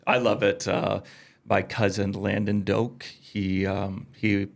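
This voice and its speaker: A Canadian man hosts a casual podcast conversation. His voice is on the low side and heavy with creak, and his delivery stays quite even in pitch. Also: slow pace. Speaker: podcast host.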